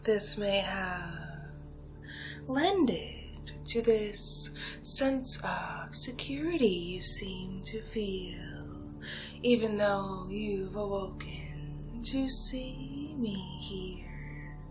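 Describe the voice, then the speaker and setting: A woman playing an ancient, seductive female vampire in a whispered ASMR roleplay, taunting her prey in a breathy, theatrical way with drawn-out pauses. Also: medium pitch. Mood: mocking. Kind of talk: ASMR roleplay monologue, soft-spoken and whispered. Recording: music behind the voice. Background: music.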